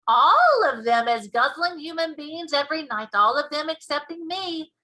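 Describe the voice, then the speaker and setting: A woman voicing a big friendly male giant from a children's storybook, folksy and excitable, with muddled grammar and made-up words. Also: high voice, moderate pace, exaggerated voice, rough recording. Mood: emphatic. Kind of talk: children's storybook read-aloud.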